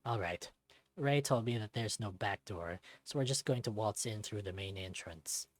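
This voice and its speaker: A man voicing a dry, laconic, gruff male raccoon thief in a visual novel. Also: fairly low pitch, normal speed, no echo, close to an everyday voice. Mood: matter-of-fact.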